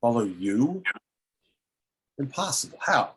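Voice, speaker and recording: A man playing a menacing, obsessive old explorer in a table read, theatrical and deliberate, with a husky voice. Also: mid-range voice, very animated, exaggerated voice. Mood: suspicious.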